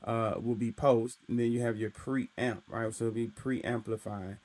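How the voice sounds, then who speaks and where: A young man with a deep voice casually explaining recording gear, talking into his studio mic and tagging his points with "right?". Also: steady tone, a little slow, a little mellow. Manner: explains loosely with frequent "right?" and "uh". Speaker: recording engineer teaching. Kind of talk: casual tutorial walkthrough. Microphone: studio microphone through his interface.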